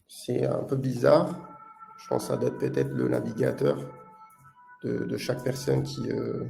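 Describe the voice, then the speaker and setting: A man from Italy speaking with an Italian accent, presenting a live webinar as a product manager in a halting delivery full of filler sounds. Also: mid-range voice, very animated, creaky, mellow, soft background music.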